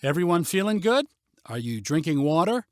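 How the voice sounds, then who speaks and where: A man's voice: fairly high pitch, big pitch swings, normal speed, no echo, clean studio sound. Man reading as an upbeat, confident storybook mom. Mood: reassuring. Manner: encouraging, calling out. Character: upbeat, confident mother leading a family hike.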